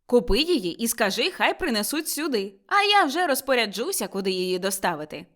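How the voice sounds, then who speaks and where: An elderly man bursting with giddy joy in a storybook tale, acted out by a woman reader. His voice sits high and leaps around excitedly. He exclaims and repeats himself like someone newly reborn. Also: fairly quick. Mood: eager, delighted. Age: older. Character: elderly miser Scrooge, giddily overjoyed and reborn, man.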